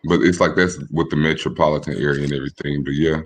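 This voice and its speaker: A young man in his late twenties with a very deep, low voice answers questions in a relaxed, laid-back way. He pauses and restarts his sentences often. He grew up in Michigan. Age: 20s. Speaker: podcast guest. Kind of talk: casual interview chat.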